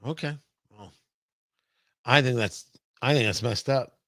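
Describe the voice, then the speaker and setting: A man's voice: medium pitch, a little slow, fairly bright tone, no echo, clean studio sound. A man hosting a live talk show, chatting casually with viewers in a playful, rambling way full of asides and mock indignation.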